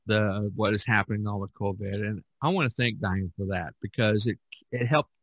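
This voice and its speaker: A middle-aged man chatting casually as a podcast host, rambling and halting as he searches for words. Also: lowish voice, fairly bright tone, no room sound. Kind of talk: casual chat.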